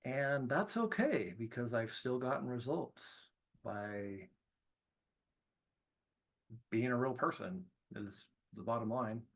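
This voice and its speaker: A man's voice: medium pitch, expressive, slow pace, pauses often, fairly bright tone. A man teaching students in an informal, self-deprecating way, often checking in as he comments on his lesson. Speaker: trainer teaching students about cold calls. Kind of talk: casual video lesson with commentary.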